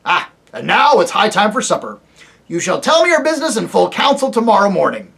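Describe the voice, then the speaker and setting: A reader voicing a very old, deaf and irritable male dwarf who talks loudly and mishears words, in a storybook reading. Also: medium pitch, very animated, brisk, gravelly, pitched above the performer's own voice. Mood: warm, stately. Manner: loud, testy, mishears words.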